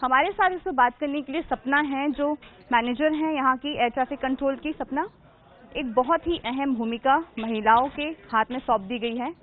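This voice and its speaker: A woman's voice: sits high, normal speed. A woman news reporter presenting from the scene and questioning a guest in a formal, emphatic way. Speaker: field reporter. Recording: narrow phone-like audio.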